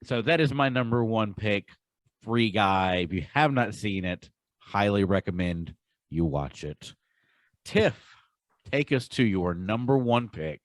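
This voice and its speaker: Low-voiced man chatting on a podcast. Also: lively intonation, a little slow, no echo. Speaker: podcast host. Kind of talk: casual podcast chat.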